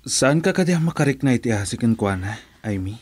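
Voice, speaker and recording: An actor with a Filipino accent playing an earnest, reasoning friend in a radio drama. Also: male voice, mid-range voice, expressive, fast, a little mellow, husky. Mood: consoling, earnest. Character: earnest, reasoning male friend urging forgiveness.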